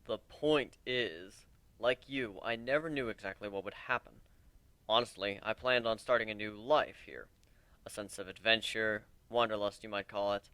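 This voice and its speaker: Man voicing a grave, low, brooding warrior. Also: sharp tone, clean tone, no echo. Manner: grave, terse, deliberate. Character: brooding, world-weary male warrior griffin, commanding and terse.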